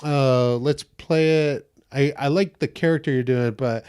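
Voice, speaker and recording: A man with a slightly high, gentle voice, telling stories in a casual podcast chat, laughing often and acting out what people said. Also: measured pace, clear, resonant tone. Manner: animated storyteller, laughs often, acts out quotes. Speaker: guest actor.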